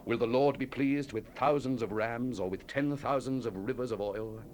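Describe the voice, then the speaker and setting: An actor playing a solemn biblical prophet in a dramatized scripture recording, declaiming judgment and lament. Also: male voice, mid-range voice, normal speed, a little mellow, natural voice. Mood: solemn, pleading. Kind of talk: dramatized scripture reading, 1970s audio drama. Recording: hissy 1970s cassette tape.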